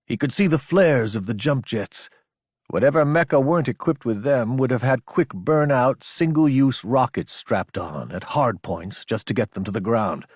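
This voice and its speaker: Man, audiobook narrator, husky voice, mid pitch, measured dramatic delivery, very dry recording. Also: expressive, a little mellow, no echo.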